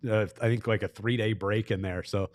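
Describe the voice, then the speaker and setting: A man's voice: fairly low pitch, steady tone, brisk. A man who works as a hockey broadcaster, chatting casually as a guest and thinking aloud as he talks. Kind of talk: casual sports talk interview.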